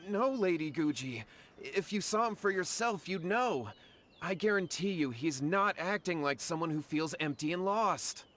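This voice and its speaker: Earnest, worried young villager. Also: male voice, on the high side, expressive, normal speed, a bit bright, a little hiss or hum. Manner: deferential, earnest, pleading. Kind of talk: animated game voice acting. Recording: music behind the voice.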